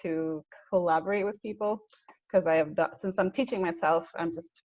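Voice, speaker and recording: A woman's voice: on the low side, fairly even intonation, moderate pace, a little mellow, no room sound. A woman in her thirties introducing herself on a video call, friendly, with a few shy laughs. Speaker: coding program participant. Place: indoor room. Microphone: earbuds on a video call. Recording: dull lo-fi video call audio.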